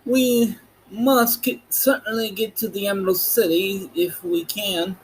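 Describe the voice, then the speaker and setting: A man voicing an anxious but determined living scarecrow in a storybook reading, high and earnest. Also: steady tone, a little slow, poor audio. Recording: noticeable background noise.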